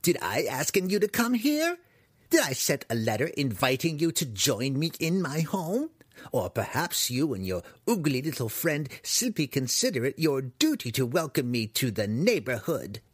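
A man's voice: medium pitch, big pitch swings, normal speed, husky, no echo, studio quality, pitched above the performer's own voice. A man voicing a cranky, paranoid old human wizard in a fantasy audiobook, shrieking and muttering in quaint broken grammar. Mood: indignant.

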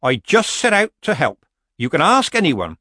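A sly old country witch, as a male narrator puts her on, speaking in a raised, husky voice. She sounds modest and folksy, with a deceptively mild storybook innocence. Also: high voice, wildly swooping pitch, moderate pace, fairly bright tone, no echo, studio quality, pitched above the performer's own voice, exaggerated voice. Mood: meek innocence.

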